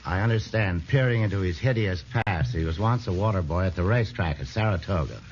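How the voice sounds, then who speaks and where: A man's voice: deep voice, expressive, brisk, a little mellow, muffled recording, slight background noise. A man answering as a guest on a 1940s radio quiz, terse and mock-pompous, on a vintage recording.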